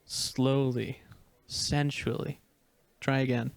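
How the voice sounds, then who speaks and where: A man's voice: medium pitch, flat delivery, slow, gravelly, dry recording. A man playing a poised, exacting stage director who coaches dancers, in a dramatic reading of a screenplay. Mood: coaching, deliberate.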